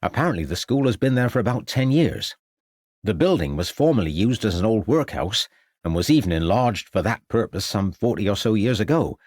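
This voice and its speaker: A man's voice: on the low side, big pitch swings, brisk, a little mellow, no room sound. A man voicing a dutiful junior policeman giving a formal, matter-of-fact report in an audiobook. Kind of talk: audiobook character acting.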